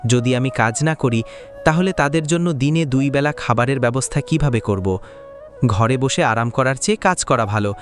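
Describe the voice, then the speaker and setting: A man voicing a humble, flattering poor farm labourer in a cartoon, speaking in a deferential, pleading way. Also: medium pitch, moderate pace, faint music behind, natural voice. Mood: weary, dutiful. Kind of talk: cartoon dialogue acting.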